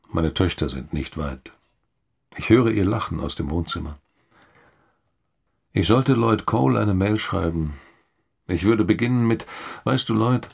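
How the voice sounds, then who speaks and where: An actor playing a middle-aged novelist who looks back on his life in a reflective, measured narration. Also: low-pitched, gravelly, breathy edge, heavily acted. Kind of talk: scripted first-person literary narration.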